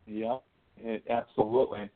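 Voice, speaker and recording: A man's voice: on the low side, level tone, moderate pace. An American man chatting casually as a guest, joking and rambling, often saying "you know". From northern Minnesota, United States. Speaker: guest psychic medium. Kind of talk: casual interview chat. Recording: phone-like thin audio.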